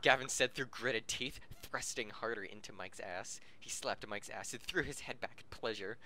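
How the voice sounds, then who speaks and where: A man's voice: medium pitch, normal speed, pushed and bright, slight background noise. A man reading a story aloud as an amateur podcast host, with joking asides and corrections of his own slips. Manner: breaks off to laugh, joke and correct misreadings.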